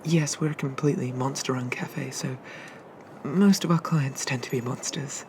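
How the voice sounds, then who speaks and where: A man voicing a shy, friendly sea dragon barista in a soft-spoken ASMR roleplay, rambling and hesitant as he eagerly explains things. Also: mid-range voice, steady tone, moderate pace, slight background noise, close to an everyday voice.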